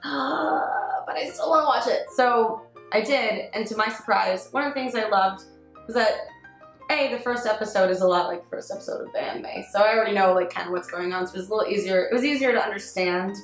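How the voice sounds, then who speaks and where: Expressive woman in an echoey room. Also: medium pitch, brisk. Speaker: review show panelist. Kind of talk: casual fan review discussion. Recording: roomy echo, faint background music.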